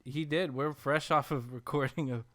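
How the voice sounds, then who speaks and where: A man's voice: a little high, fairly even intonation, moderate pace, fairly bright tone. A man hosting a podcast in an easygoing, casual chatty style. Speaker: podcast host. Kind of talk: casual group chat and sponsor reads.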